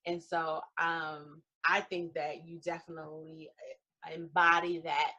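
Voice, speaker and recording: A woman's voice: low voice, level tone, a little slow, pushed and bright, lo-fi sound. A woman hosting an interview show, chatting casually, with many fillers and short affirmations. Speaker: podcast host.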